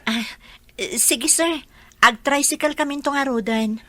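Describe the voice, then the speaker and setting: A woman's voice: high voice, measured pace, sharp tone, no room sound. A woman playing a polite, deferential housemaid and devoted mother in a radio drama, respectful and a little hesitant. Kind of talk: radio drama acting in dialogue.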